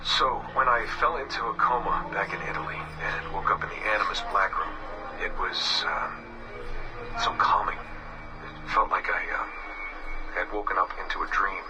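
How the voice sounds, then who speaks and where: An actor playing a weary, haunted young man, recording a halting, reflective confession in a subdued murmur for a game. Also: lowish voice, steady tone, close to an everyday voice. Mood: wistful, halting. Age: young adult. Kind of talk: recorded confessional audio diary, game voice acting. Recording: distant game audio with music behind. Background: music.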